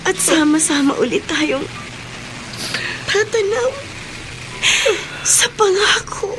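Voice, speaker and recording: An actress playing a forgiving, compassionate young wife in a sentimental radio drama, speaking gently and earnestly. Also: female voice, extremely high pitch, expressive, takes it slowly, music in the background, full character voice, poor audio. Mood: tender, emotional.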